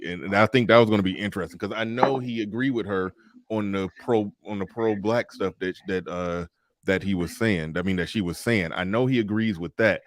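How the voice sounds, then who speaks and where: A man's voice: fairly low pitch, dry recording. A man of forty hosting a casual livestream discussion, reading viewer super chats aloud and restarting his sentences often. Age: 40s. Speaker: livestream host.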